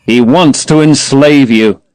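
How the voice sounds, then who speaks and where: A man's voice: mid-range voice, takes it slowly, a little mellow, smooth, no echo, lightly acted. A man reading aloud and voicing a sullen, protective young man who speaks bluntly.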